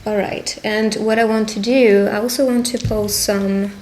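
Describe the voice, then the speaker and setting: A woman speaking conversationally and encouragingly as a witch giving a personal love reading, pausing to interpret as she goes. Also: medium pitch, steady tone, darkish tone, slight background noise, low-quality recording. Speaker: tarot reader and roleplay video host. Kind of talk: intimate roleplay fortune-telling addressed to the listener.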